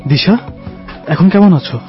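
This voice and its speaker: A man acting as a young husband and father in an audio drama. He sounds caring and soothing, holding worry under a steady manner. The audio is a little dull, with faint music behind him. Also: normal speed, natural voice. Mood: reassuring. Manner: soothing, reassuring.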